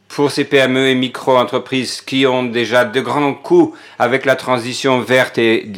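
A man giving simultaneous interpretation of a parliamentary speech, hesitant, with frequent filler pauses and restarts. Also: medium pitch, fairly bright tone.

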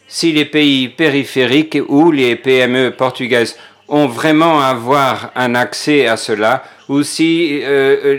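Man hesitantly interpreting in parliament. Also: mid-range voice. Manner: hesitant, frequent filler pauses. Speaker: simultaneous interpreter.

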